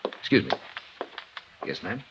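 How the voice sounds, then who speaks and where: An actor playing a courteous but firm hospital attendant in a 1950s radio drama, with an officious manner. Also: male voice, unhurried, a bit bright, natural voice. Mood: polite, firm. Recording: muffled, noisy vintage radio recording.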